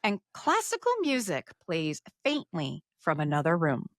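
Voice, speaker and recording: A woman's voice: sits low, very animated, a little slow, no echo. Casting: female co-host for casual podcast chat, tentative and courteous, recorded dry.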